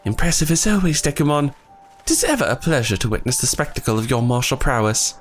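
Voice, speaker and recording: A man reading the lines of an earnest young male scholar in his own voice, with formal, archaic phrasing and a voice that is going hoarse. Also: mid-range voice, sing-song, fast, faint music behind, lightly acted. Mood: solemn. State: losing his voice.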